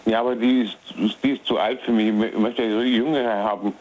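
A man in his thirties calling into a radio show, talking haltingly and repeating his words. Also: fairly low pitch, steady tone, darkish tone. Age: 30s. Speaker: caller. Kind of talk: phone call-in to radio. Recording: muffled lo-fi phone line.

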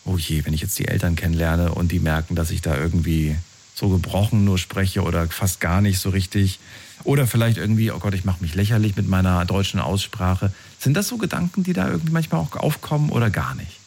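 A man's voice: sits low, a little fast, a little mellow, a little hiss or hum. A man interviewing someone in a conversational, informal way, often adding little tag questions. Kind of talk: conversational interview about personal experiences.

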